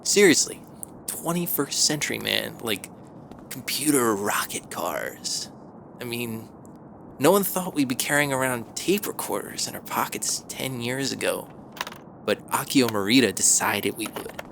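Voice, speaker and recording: A young man playing a wisecracking, excitable slacker musician in an audio drama, casual and sardonic. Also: medium pitch, measured pace, gravelly, slight background noise. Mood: musing. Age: young adult.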